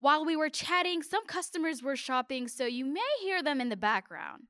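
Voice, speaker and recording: A woman correspondent narrating an interview in a clear, measured, scripted way. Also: high voice, lively intonation, pushed and bright, no echo, clean studio sound.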